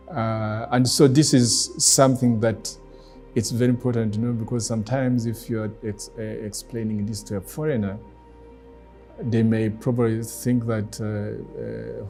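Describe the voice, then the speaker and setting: Middle-aged man, Rwandan accent, native Kinyarwanda speaker, lowish mellow voice, reflective, deliberate pacing. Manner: deliberate, measured, with pauses. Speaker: guest speaker, former ambassador. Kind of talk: reflective personal talk.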